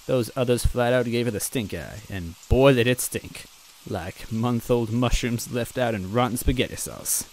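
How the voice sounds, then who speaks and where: A man casually reading a fan story aloud, rambling between passages and clearing his throat. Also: medium pitch, normal speed, few pauses, a little hiss or hum, low-quality recording. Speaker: reader and narrator.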